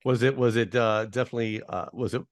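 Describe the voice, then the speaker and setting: A man's voice: medium pitch, steady tone, fast talker. A man who hosts a radio station's interview, asking chatty questions with some hesitation. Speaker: radio host. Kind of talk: casual interview over video call.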